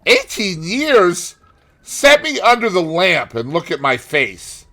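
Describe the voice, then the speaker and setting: A man voicing a terrified gentleman in an audiobook, gasping and crying out as he pleads for his life. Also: high voice, huge pitch swings, slow pace, pitched above the performer's own voice, exaggerated voice. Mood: triumphant.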